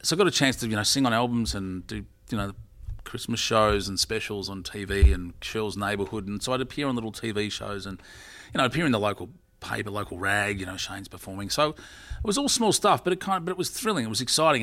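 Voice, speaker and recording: A man's voice: fairly low pitch, animated, fairly quick, pushed and bright. A middle-aged man, telling his career story as an interview guest in a rambling, self-correcting way. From Australia. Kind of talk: casual interview talk.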